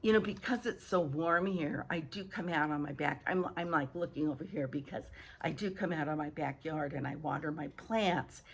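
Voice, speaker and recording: A woman vlogger with a hoarse early-morning voice, chatting casually and haltingly to viewers as she repeats and restarts phrases. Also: deep voice. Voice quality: husky, hoarse. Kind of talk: casual rambling chat to viewers. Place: outdoor home patio.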